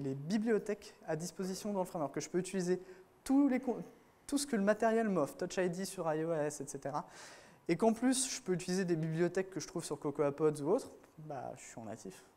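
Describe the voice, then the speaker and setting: A man's voice: high voice, a little mellow. Casual man giving a tech talk. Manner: casual, lots of fillers and restarts. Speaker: conference speaker. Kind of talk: technical conference talk. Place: conference room with audience.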